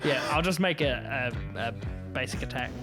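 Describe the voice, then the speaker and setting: A man's voice: medium pitch, normal speed. A man playing a tabletop game, chatting and joking casually with the group. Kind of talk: casual comic banter at a game. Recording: loud music behind the voice.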